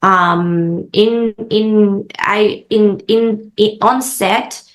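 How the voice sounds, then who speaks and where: A woman filmmaker answering interview questions in a mid-pitched voice. She speaks with care and often stops for "um" and "uh" while she finds her words. It sounds like a relaxed conversation. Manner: halting, many "um" and "uh" pauses. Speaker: filmmaker guest.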